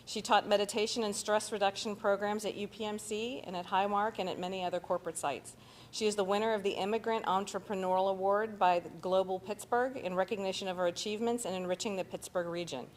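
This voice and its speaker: A woman introduces the evening's speaker at an arts center, reading from notes and pausing to paraphrase. Her voice sits at a middle pitch, with a faint hum of room noise behind her. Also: steady tone. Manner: reads from notes, paraphrasing with hesitations. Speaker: host. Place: arts center event, live audience and stream.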